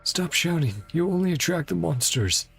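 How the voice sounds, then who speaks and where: A wary city guard from a fantasy game, played by a man reading aloud, grumbles and reasons defensively. The voice sits higher than his own and has a creaky edge, with music underneath. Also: lively intonation, moderate pace, a bit bright, full character voice. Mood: suspicious.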